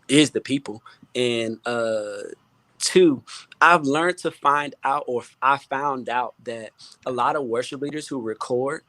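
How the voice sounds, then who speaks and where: A man in a casual online conversation, giving informal, encouraging advice with halting, repeated phrases. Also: medium pitch, fairly bright tone, no room sound. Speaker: guest music producer. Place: remote online video call.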